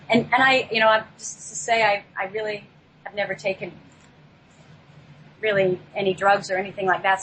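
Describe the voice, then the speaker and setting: A woman telling a personal story on a talk show in a halting, reflective way, picked up by a laptop microphone. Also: medium pitch, a bit bright. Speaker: guest telling their life story. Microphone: laptop built-in microphone, not headset. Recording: noisy, off-mic laptop microphone.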